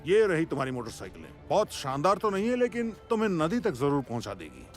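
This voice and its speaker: A man voicing a middle-aged, jovial but stern police chief in a Hindi cartoon dub, expressive and authoritative. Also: fairly high pitch, brisk. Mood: helpful, briefing. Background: music.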